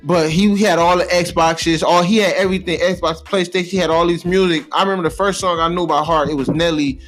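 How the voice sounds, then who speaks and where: A man's voice: high voice, fairly even intonation, fairly quick, a bit bright, soft background music. A young American man in casual, slangy, profane podcast storytelling, repeating himself for emphasis. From Cleveland, United States. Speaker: podcast co-host.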